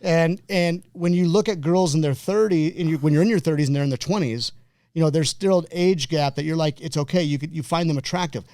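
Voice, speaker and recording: A man in his forties hosts a casual podcast over drinks, circling his point with halting starts and fillers. His voice sits a little high for a man. The sound is dry and close. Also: dark tone, no echo. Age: 40s. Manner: rambling, halting storyteller. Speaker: podcast host.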